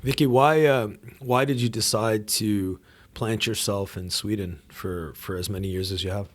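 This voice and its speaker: A man's voice: lowish voice, fairly even intonation, normal speed. A man co-hosting a podcast who asks loose, rambling questions and laughs along easily. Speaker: podcast co-host. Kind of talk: conversational interviewing.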